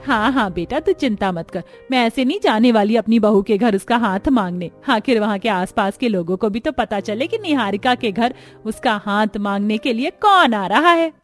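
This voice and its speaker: A woman's voice: a little high, animated, normal speed, hardly any pauses, faint music behind, close to an everyday voice. A woman voicing a proud, effusive middle-aged mother from a rich family in a cartoon story.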